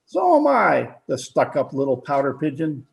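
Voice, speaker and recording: An actor playing a dry, mild-mannered older shopkeeper with a hesitant, folksy, deadpan way of talking, in old-time radio comedy style. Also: male voice, fairly high pitch, moderate pace, vocal fry, full character voice. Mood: grumbling.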